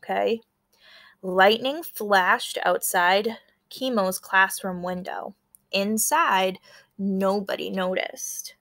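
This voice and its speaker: A woman with a bright voice at a middling pitch, speaking as an elementary school teacher. She reads a storybook aloud and steps out of the text to explain it. Her manner is instructive, often inviting young listeners to notice details and wonder about them. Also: steady tone, takes it slowly. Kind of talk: read-aloud lesson for fourth graders, explaining as she goes.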